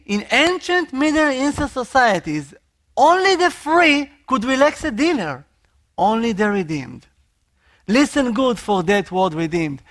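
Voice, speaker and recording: A man from Israel with an Israeli accent, a missionary preaching a sermon in an earnest, expressive way. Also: high-pitched.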